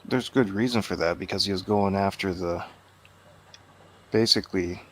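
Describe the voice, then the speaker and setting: A man with a fairly low voice talks as a guest on a casual film review. He is blunt and matter-of-fact in how he puts his opinions. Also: moderate pace, a bit bright.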